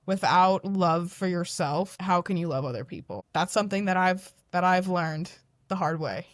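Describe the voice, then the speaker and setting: A young woman who is a podcast guest, talking in a loud, animated, self-deprecating way. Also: lowish voice, moderate pace, no room sound, clean studio sound. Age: young adult. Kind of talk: candid podcast conversation.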